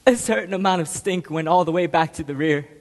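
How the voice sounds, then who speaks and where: In a long hall reverb, a man acts a guilt-ridden, haunted marine veteran confessing on stage. His delivery is halting and intense, moving between hushed and explosive, in a high-set male voice. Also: close to an everyday voice. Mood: anguished, defensive. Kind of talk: theatrical stage monologue. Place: large echoing room, performing to an audience.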